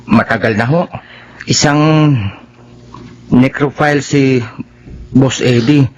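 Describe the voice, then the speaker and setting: A man with a Filipino accent playing a respectful employee grimly explaining his boss's misdeeds in a halting way, in a radio horror drama. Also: mid-range voice, steady tone, dull audio, natural voice. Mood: grave, confiding. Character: deferential male employee grimly confessing his boss's crimes.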